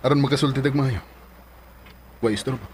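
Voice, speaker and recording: A man's voice: mid-range voice, steady tone, brisk, darkish tone, slight background noise, lightly acted. A Filipino actor playing an earnest, serious man in a romantic radio drama, speaking insistently. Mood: serious, pleading. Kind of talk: Philippine radio drama acting.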